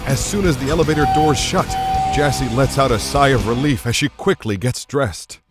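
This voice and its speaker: Male narrator over very loud music. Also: mid-range voice, normal speed. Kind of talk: scripted action drama narration.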